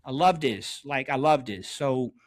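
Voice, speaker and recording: A man's voice: mid-range voice, lively intonation, unhurried. A man in his forties telling stories in casual, slangy podcast style, emphatically repeating his phrases for effect. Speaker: podcast host, basketball coach.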